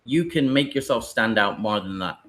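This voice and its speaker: A man's voice: mid-range voice, expressive, moderate pace, a bit bright. A British man leading a live online training session for teachers, explaining in a loose, rambling way.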